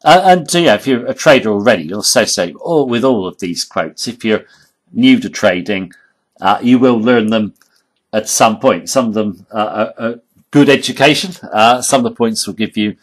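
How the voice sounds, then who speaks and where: A hoarse man giving an informal tutorial voice-over, halting and emphatic, often checking in with "okay?". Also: lowish voice, lively intonation. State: hoarse, losing his voice. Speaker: trading educator.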